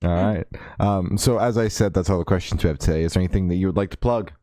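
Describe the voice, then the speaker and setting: A man's voice: sits low, quick pace, warm tone, no echo, studio quality. A man hosting a podcast interview, laid-back and casually profane. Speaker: podcast host.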